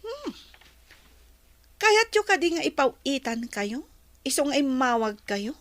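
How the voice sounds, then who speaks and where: A woman playing a proud, ambitious young daughter who is sharp with her mother, in a radio drama. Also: high voice, very animated, slow, heavily acted. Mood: defiant.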